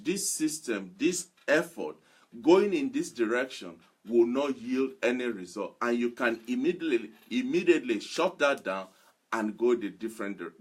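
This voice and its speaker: A man giving a motivational sermon, speaking emphatically and repeating phrases deliberately for weight. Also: mid-range voice, breathy edge. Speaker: motivational preacher.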